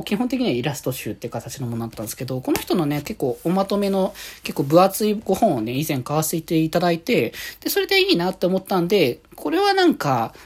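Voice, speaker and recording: A woman hosting a podcast, chatting casually on her own in halting, trailing phrases. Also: low-pitched, a little mellow, slightly rough. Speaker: podcast host. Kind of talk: casual solo chat about fan events.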